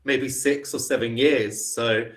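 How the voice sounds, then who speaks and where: A man with a London accent chats on a video call in a friendly, encouraging way. His voice sits at an ordinary middle pitch. He keeps the talk going with warm follow-up questions. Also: steady tone, slow. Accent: London English. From England. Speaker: online English tutor. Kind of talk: casual video call chat. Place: home in the UK.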